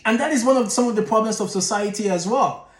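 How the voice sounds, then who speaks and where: A man's voice: sits high, fast talker, echoey room. A man giving blunt, exasperated commentary as a channel host, often digressing. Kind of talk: opinionated commentary.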